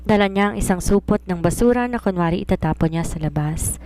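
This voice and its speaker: A Filipino woman narrates a romance story from a script. Her voice sits on the low side and has a dark, soft tone. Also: fast.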